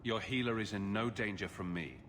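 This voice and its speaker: An actor playing a cool, quick-witted adventurer hero in a video game, with a dry, teasing delivery. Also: male voice, on the low side, a bit bright, close to an everyday voice. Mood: cool, wry. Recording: clear background noise.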